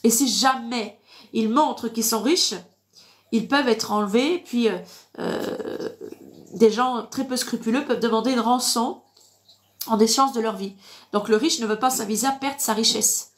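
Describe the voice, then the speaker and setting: Woman w stuffy nose doing a casual online bible teaching, kinda high voice, repeats herself to drive points home. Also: moderate pace. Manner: didactic, repeats phrases, punctuated with amens. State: congested with a blocked nose. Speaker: online preacher. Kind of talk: informal live Bible teaching with verse reading.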